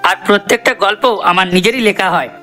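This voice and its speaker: A man's voice: sits high, quick pace, pushed and bright. A man voicing an assertive comedy cartoon character, emphatic and matter-of-fact. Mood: earnest. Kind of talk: cartoon character addressing viewers. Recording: voice over background music.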